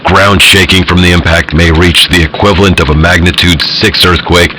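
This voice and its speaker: A man's voice: low-pitched, fairly even intonation, moderate pace, noisy background, natural voice. A man playing a sober news reporter reading a scripted radio report in an audio drama.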